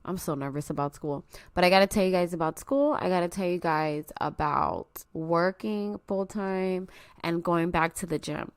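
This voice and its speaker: A young woman talks earnestly and confidingly to her listeners from home, her nose a bit stuffy from allergies. Her voice sits low for a woman and comes through smooth and clear. The sound is close and dry. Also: normal speed, dry recording. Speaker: podcast host. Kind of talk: personal solo podcast talk.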